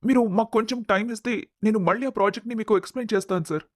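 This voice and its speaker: Man acting a high-pitched, stern young company boss. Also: rapid-fire, no room sound, clean studio sound. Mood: apologetic. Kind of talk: dramatised audio story acting.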